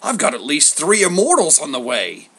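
A man's voice: fairly high pitch, huge pitch swings, normal speed, sharp tone, voice pushed up, exaggerated voice. A man voicing a huge bearded god as a slangy, boastful, wheedling hustler in a comic story. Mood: bragging. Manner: slangy, schmoozing, boastful, theatrically wounded.

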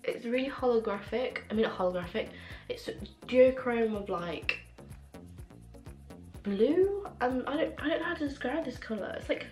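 A young woman reviewing makeup in a casual vlog, excitable and full of fillers, her voice a little rough from a cold and sore throat. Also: on the high side, normal speed. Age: young adult. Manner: gushing, excitable, many fillers. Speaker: beauty vlogger. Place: small room at home, indoors. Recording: echoey room with faint music.

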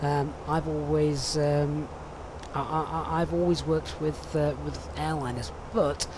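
A man's voice: on the high side, level tone, a little slow, background noise. A man streaming a flight simulator, chatting and reading out viewer questions with many ums and uhs. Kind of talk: live stream commentary.

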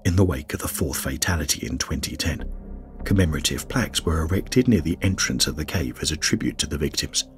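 A man reading a scripted documentary narration in a grave, measured way. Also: extremely low pitch, expressive. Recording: faint music bed under voice-over.

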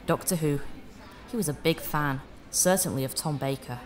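An actress playing a helpful, candid widow in an audio drama. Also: female voice, low voice, normal speed, lightly acted. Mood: wistful.

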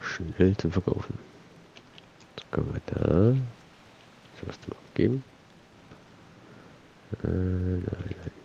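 A man's voice: low voice, slow pace, vocal fry, pauses often, dark tone, lo-fi sound, slight background noise. A man with a cold, casually rambling and muttering to himself while commenting on his gameplay. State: has a cold. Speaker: let's play host.

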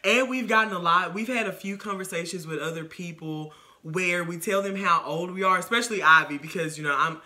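A woman's voice: fairly low pitch, fairly quick, hardly any pauses, bright, forceful tone. An American woman in her twenties chatting casually as a vodcast co-host, making her points emphatically. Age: 20s. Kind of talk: casual chat. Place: living room couch.

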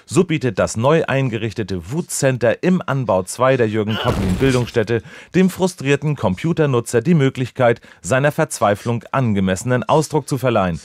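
An actor playing a dutiful, deadpan local radio reporter delivering formal, wordy parody news reportage. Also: male voice, medium pitch, moderate pace, hardly any pauses, lightly acted.

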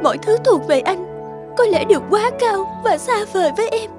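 A gentle young cartoon heroine with a high voice. She speaks earnestly and hesitantly, like someone unsure she belongs. Music plays lightly underneath. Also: animated, moderate pace. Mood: pained, pleading. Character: gentle, insecure young working-class girlfriend. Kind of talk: animated drama dubbing.

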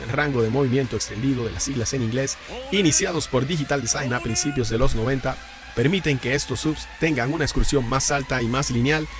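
A man reading a scripted rundown of subwoofer specifications and prices for a car audio channel. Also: medium pitch. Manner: reads spec lists and prices in an even explaining tone. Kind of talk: scripted product narration. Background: background music.

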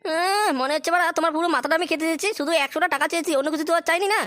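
Man putting on a very high-pitched, whiny loafer son. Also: very fast, pushed and bright, dry recording. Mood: resentful. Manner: whining, foul-mouthed, grumbling. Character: idle, wheedling grown son, village loafer. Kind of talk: crude adult comedy cartoon dubbing.

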